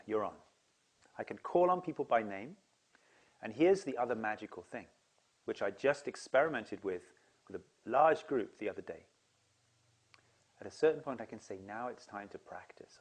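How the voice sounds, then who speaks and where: Man, seminar leader, earnest enthusiastic explainer, mid pitch. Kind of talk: direct-to-camera explanation.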